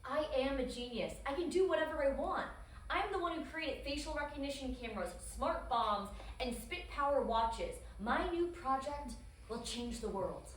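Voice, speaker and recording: A woman's voice: high voice, lively intonation, normal speed. A woman reading an arrogant, mocking scientist in her twenties with a condescending air at a comedy animation table read. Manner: smug, condescending. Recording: very echoey room, distant mic.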